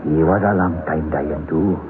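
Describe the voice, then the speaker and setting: A man's voice: rumbling low, steady tone, normal speed. An actor playing a haunted, unhinged mine survivor telling his tale broodingly in an old radio drama. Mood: haunted, grim. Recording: noisy lo-fi old radio broadcast.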